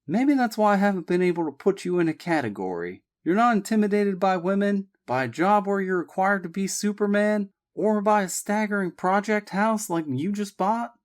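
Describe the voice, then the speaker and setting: Guy reading a laid-back ex-military dude, even and steady. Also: medium pitch, moderate pace, pitched above the performer's own voice, lightly acted. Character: calm confident ex-military man, easygoing.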